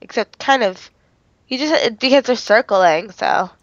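A woman's voice: fairly high pitch, expressive, measured pace. A woman chatting casually as a friend playing along, picked up in a dull, off-mic recording. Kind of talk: casual chat. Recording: dull, roomy, off-mic pickup.

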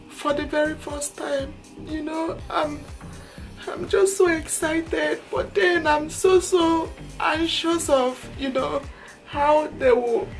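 Chatty woman vlogging to her camera. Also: high-pitched. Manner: chatty, heartfelt, heavy on filler words like "you know". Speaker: mom vlogger. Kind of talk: casual vlog talk to viewers. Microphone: camera held at arm's length.

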